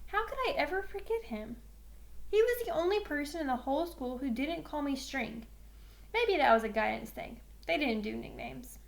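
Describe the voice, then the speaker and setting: High-voiced woman reading a novel aloud. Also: a bit bright. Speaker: read-aloud reader. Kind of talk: first-person children's novel narration.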